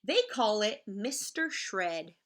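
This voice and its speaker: A woman reading a picture book aloud in the manner of a teacher, expressive and explaining things simply to children. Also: medium pitch, slow. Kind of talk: animated children's read-aloud with asides to the listeners.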